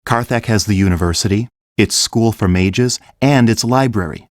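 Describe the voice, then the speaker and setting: Man acting kind fantasy king, lowish pitch, grave, measured, earnest, dry audiobook sound. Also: no echo, lightly acted. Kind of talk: full-cast audiobook acting.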